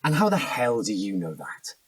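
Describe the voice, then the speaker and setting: An actor with a British accent playing a volatile, defensive suspect under interrogation, husky and faltering, in an audio drama. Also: male voice, medium pitch, big pitch swings, normal speed. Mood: defensive, irritated.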